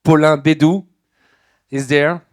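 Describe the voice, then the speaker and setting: A male moderator introduces the speakers briskly, at one point moving into halting English. His voice is smooth and sits somewhat high for a man. The sound is dry and close. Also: steady tone, no echo. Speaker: event moderator.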